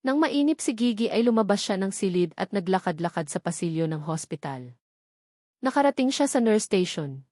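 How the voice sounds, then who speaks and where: A woman's voice: medium pitch, lively intonation, moderate pace, darkish tone, no echo, clean studio sound. A woman reading scripted story narration as an audiobook narrator. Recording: music playing behind the voice. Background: background music.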